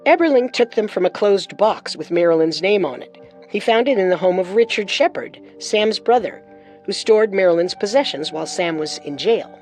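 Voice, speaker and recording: A woman's voice: medium pitch, animated, moderate pace, a little mellow, soft background music. A woman co-hosting a podcast, reading scripted true-crime narration with dramatic storytelling and wry touches.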